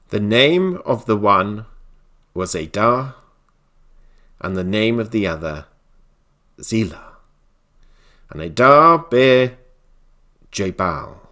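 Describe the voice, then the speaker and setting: A man, a pastor, giving a solemn Bible reading in deliberate, dramatic phrases with pauses. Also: on the low side.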